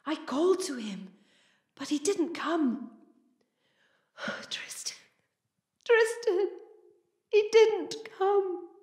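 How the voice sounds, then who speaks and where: A woman playing a lost, fretful Victorian lady in a radio play, halting and tender, with breathy gasps and whispers. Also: very high-pitched, very animated, a little mellow, roomy sound, full character voice. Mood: distressed, halting.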